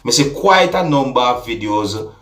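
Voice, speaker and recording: A man with a Jamaican accent hosts an opinion vlog, speaking deliberately to his viewers with frequent rhetorical asides. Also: medium pitch, animated, fairly bright tone. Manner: deliberate, repeatedly addresses listeners with rhetorical asides. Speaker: vlog host and commentator. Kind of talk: opinion commentary addressed to viewers. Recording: echoey room with music. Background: music.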